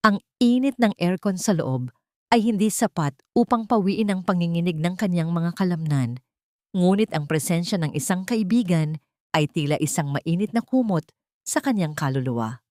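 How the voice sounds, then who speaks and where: Female audiobook narrator, Filipino accent, low pitch, dramatic scripted storytelling, very dry sound. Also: lively intonation, moderate pace, a little mellow, dry recording.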